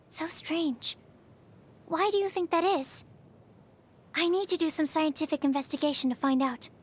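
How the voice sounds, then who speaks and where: A shy young female alchemist from a video game, with a high, girlish voice over music. She speaks softly and politely, choosing precise words, earnest and bookish but hesitant and easily flustered. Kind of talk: video game character voice lines.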